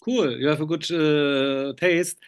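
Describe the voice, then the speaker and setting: Male interviewer, slightly high voice, chatty, halting English phrasing. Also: fairly even intonation, smooth. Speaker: film magazine interviewer. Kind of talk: casual interview over video call.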